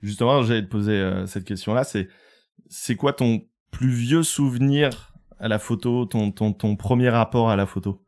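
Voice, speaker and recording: A man's voice: on the low side, normal speed, darkish tone. A man hosting a casual podcast interview, adding short backchannels and follow-up questions. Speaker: podcast host.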